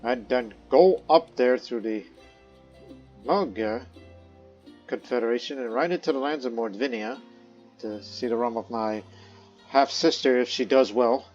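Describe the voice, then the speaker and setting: A man at a middle pitch gives loose, rambling commentary on a strategy game, full of fillers and restarts. The recording is slightly dull, with soft game music underneath. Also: measured pace, pauses often. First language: not Croatian; studied Croatian as a second language. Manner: rambling, many fillers and restarts, speaks in character as his ruler. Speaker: let's play host. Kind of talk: casual gameplay commentary. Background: faint game music.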